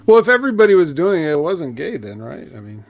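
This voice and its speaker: A man's voice: fairly high pitch, big pitch swings, quick pace. A man co-hosting a wrestling review show, chatting casually and steering the discussion. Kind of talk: casual chat, show moderating.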